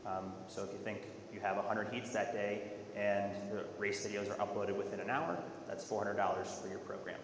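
A man giving an explanatory, halting product presentation at a conference in a large auditorium. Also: lowish voice, fairly even intonation. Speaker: software presenter. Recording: echoey hall with faint noise.

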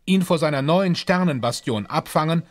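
A measured storyteller voiced by a man narrates a sci-fi radio play. His voice sits a little high, and his delivery is scripted and composed. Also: natural voice. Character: measured male storyteller of a space adventure. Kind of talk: scripted audio drama narration.

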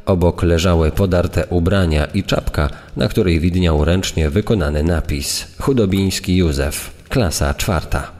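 Guy doing a somber 1930s crime report reader, low voice, long echo on it. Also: a little slow, a little mellow. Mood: sombre, factual. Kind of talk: dramatised archival reading in true-crime podcast. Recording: long reverb.

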